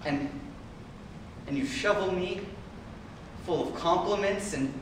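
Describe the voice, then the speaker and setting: A man's voice: sits high, slow. A man playing an anxious, pleading lover in a contemporary dramatic stage monologue, stammering and repeating his words. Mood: earnest, passionate. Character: anxious, pleading, passionate man in a troubled relationship. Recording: very echoey room, far from mic, faint noise.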